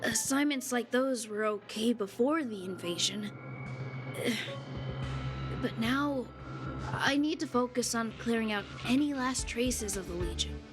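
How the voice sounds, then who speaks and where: A woman's voice: a little high, a little slow, music in the background, lightly acted. A woman playing a dutiful, reserved young male security officer in a video game, speaking hesitantly, earnestly and deferentially. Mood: weary.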